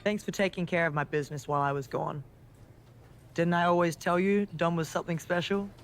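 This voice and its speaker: A woman's voice: on the low side, fairly even intonation, fairly quick, close to an everyday voice. A woman playing a cool, menacing, self-assured female wrestling villain in a video game cutscene.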